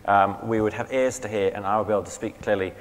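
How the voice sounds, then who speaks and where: Chatty vicar with a strained throat, in a reverberant hall. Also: male voice, on the low side, fairly even intonation, fast. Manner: conversational, chatty, self-correcting. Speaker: vicar preaching. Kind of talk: sermon. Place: church hall.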